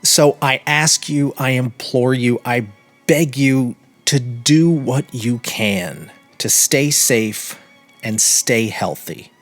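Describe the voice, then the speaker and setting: An American man speaks as a podcast host in a medium-pitched voice. He phrases things deliberately and earnestly, leaning on key words for emphasis. Music plays softly behind him. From United States. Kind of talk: podcast introduction and personal commentary. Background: background music.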